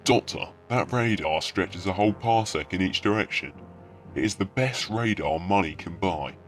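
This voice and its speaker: A man's voice: low voice, normal speed, slight background noise. A man playing a dry, matter-of-fact space station official in an audio drama. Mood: assured.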